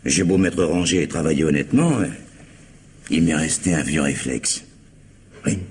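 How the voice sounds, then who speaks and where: An actor playing a streetwise, self-justifying ex-convict protesting under police questioning in a French radio crime drama. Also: male voice, very deep voice, moderate pace, darkish tone, a little hiss or hum. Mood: earnest, justifying. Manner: slangy, protesting, emphatic.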